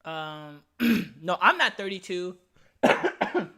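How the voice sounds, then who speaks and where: A man's voice: high-pitched, very animated, very slow, bright, forceful tone, slight background noise. A man in his late twenties talking casually on a livestream, candid and emphatic, reading chat comments aloud. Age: 20s. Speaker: streamer.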